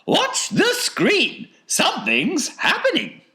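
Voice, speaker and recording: A man voicing an eccentric, excitable, flamboyant male chocolate maker from a storybook in a theatrical, exclamatory way. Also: very high voice, slow pace, voice pushed up, heavily acted. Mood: breathless concern. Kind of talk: storybook character reading.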